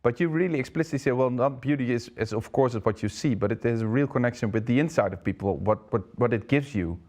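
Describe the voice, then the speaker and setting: Brief: male talk-show host, mid-pitched, halting questions with repeats. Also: a little mellow. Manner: hesitant, repeats words. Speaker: interview host. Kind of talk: online interview.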